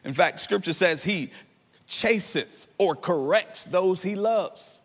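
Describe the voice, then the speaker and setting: High-voiced preaching pastor in a hall. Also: male voice, lively intonation, slow pace. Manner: impassioned preaching, half-sung peaks. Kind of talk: sermon. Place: large church stage. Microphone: handheld mic. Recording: long hall reverb.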